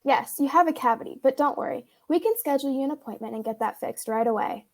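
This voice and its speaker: A woman's voice: sits high, quick pace. A woman playing a reassuring, upbeat dentist in a scripted radio commercial.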